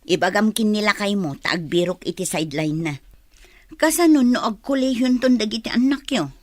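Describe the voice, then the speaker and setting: A woman's voice: medium pitch, animated, moderate pace, dry recording, close to an everyday voice. An actress playing an insistent, critical and worried female relative in a radio drama. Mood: nagging, concerned. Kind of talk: radio drama acting in dialogue.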